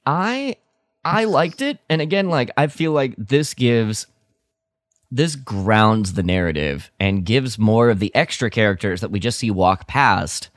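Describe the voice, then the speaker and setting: Lively-pitched man chatting on a podcast. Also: dry recording. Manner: halting, emphatic, peppered with "like". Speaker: podcast co-host. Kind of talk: casual fan discussion.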